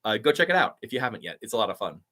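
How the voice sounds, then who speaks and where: A man's voice: fairly low pitch, very animated, bright, forceful tone. A man who is a voice actor, chatting upbeat on a live stream and reading out viewers' comments. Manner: upbeat, chatty, quick to laugh. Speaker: YouTuber and voice actor.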